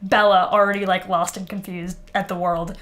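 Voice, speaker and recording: Female co-host, average pitch, sardonic and blunt, giggly conversational banter. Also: flat delivery, normal speed, a bit bright, a little hiss or hum. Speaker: podcast co-host. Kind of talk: casual comedic banter.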